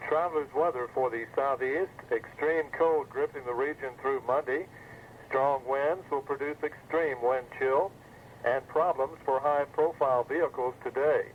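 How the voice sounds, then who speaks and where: A man's voice: medium pitch, steady tone, normal speed. A male announcer reading a scripted weather bulletin over a 1980s weather radio broadcast. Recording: muffled phone-like 1980s weather radio, faint noise.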